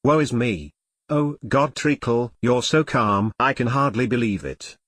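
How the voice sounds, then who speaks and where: A man's voice: mid-range voice, animated, a little slow, a bit bright, no echo, studio quality. A synthetic male text-to-speech voice playing a melodramatic, easily flustered computer turned elephant in an audio comedy drama. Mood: melodramatic dismay. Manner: theatrical complaining in synthetic text-to-speech delivery.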